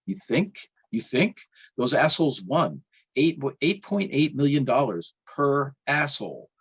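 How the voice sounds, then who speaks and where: A man voices a sardonic, foul-mouthed office woman from a dark comic novel. The delivery is exasperated and emphatic, lifted in pitch with a husky grain. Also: sing-song, a little slow. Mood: incredulous, exasperated. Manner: swearing, incredulous, emphatic.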